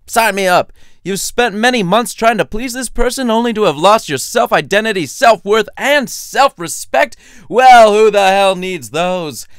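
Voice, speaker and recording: A man's voice: high voice, a bit bright, smooth, dry recording, clean studio sound. A man reading his own comic story in an irreverent, sardonic, chatty and theatrical way, addressing the listener directly. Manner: irreverent, sardonic, foul-mouthed. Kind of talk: comedic storytelling narration.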